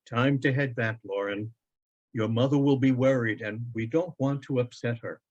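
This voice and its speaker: A man playing a gentle, wise father who speaks warmly and reverently to his young son in a storybook reading. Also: mid-range voice, natural voice. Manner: warm, reverent, deliberate. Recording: dull, lo-fi online event audio.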